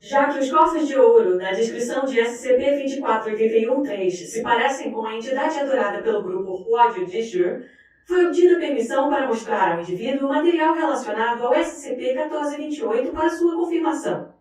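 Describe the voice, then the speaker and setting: A woman's voice: high voice, expressive, fairly quick, a little mellow. A woman reading a scripted report as a narrator, in a formal, impersonal announcing manner. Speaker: document narrator. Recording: heavy echo, distant from mic.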